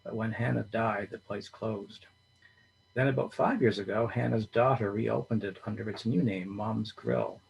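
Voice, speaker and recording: A man reading a short story aloud in a steady storytelling manner. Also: lowish voice, normal speed, vocal fry, breathy edge, poor audio. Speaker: reader.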